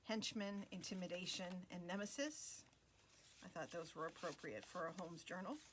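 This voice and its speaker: Female craft host, casual unhurried narration, slightly low pitch, lots of pauses. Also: a little hiss or hum. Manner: relaxed, many fillers and pauses. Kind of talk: casual flip-through narration.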